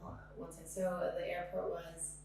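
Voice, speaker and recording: A woman's voice: on the low side, monotone, moderate pace, darkish tone. A woman interviewing, asking her questions hesitantly with many "um"s. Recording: echoey room, faint hum.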